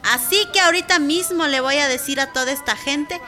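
A feisty Mexican village midwife in a radio soap opera. Her voice is high and piercingly bright, scolding and protective, over light music. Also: a little fast. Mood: firm, scolding. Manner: indignant, scolding.

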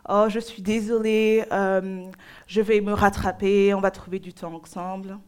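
A young woman from Nigeria, preaching and giving a personal testimony in short phrases with pauses for an interpreter. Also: mid-range voice, little pitch movement, smooth. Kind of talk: sermon in French.

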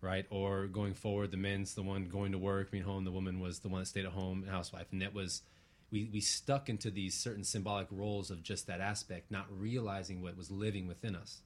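A man's voice: low-pitched, flat delivery, fairly quick. A man speaking as an interview guest in a reflective, earnest way, using abstract spiritual phrasing and often checking for agreement.

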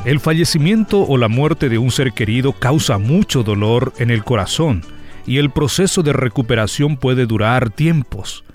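A man's voice: medium pitch, expressive, normal speed, darkish tone, faint music behind. A man hosting a radio programme, presenting and interviewing guests. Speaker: host.

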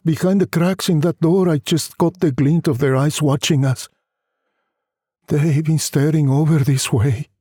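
A man voicing a weary, frightened, devout wife and mother in a story, plaintive and insistent, with a breathy voice. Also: fairly high pitch, warm tone, no room sound, clean studio sound, voice pushed up.

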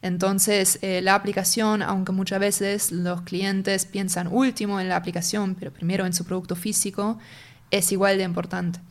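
A woman's voice: medium pitch, little pitch movement, normal speed. Woman explaining her work. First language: German. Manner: explanatory, searches for words as she goes. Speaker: marketing and sales lead, interview guest. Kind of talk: casual interview conversation.

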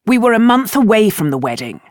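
A woman's voice: mid-range voice, very animated, fairly quick, no room sound, studio quality, voice pushed up, close to an everyday voice. A woman voicing an irritable, blunt young aristocratic man in a storybook, indignant and demanding. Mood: defensive.

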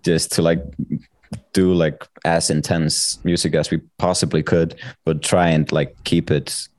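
A man's voice: extremely low pitch, flat delivery, a little slow, a little mellow, no room sound. A man chatting casually as an interview guest, informal and agreeable. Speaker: metal musician, interview guest. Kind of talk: casual chat.

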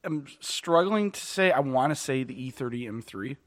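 A man in casual conversation, loose and rambling, quick to laugh and tease. His voice sits at an ordinary middle pitch, relaxed and unforced. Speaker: podcast co-host. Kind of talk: casual car chat.

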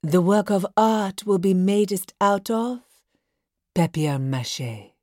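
A woman's voice: medium pitch, expressive, takes it slowly, warm tone, no room sound, clean studio sound. A woman acting a vain, pompous art teacher in a children's audiobook, theatrical and self-important, with grandly mangled words. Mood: smug, grand.

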